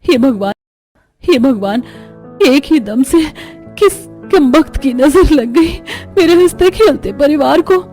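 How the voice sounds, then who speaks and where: A woman's voice: sits high, lively intonation, takes it slowly, mellow, pitched above the performer's own voice, full character voice. A woman voicing a distraught, begging village mother in a cartoon horror story. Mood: lamenting. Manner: wailing, begging. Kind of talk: Hindi animated story dub. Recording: music under the voice.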